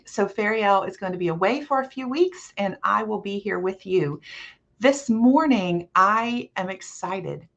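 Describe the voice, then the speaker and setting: A middle-aged woman hosting a live online panel as a coach, speaking in a friendly, measured way with some ums, over a video call. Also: lowish voice. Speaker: host and coach.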